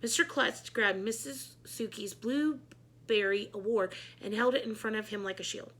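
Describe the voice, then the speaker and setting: A woman reading a children's book aloud as storyteller, in an expressive narrating style. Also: medium pitch, normal speed.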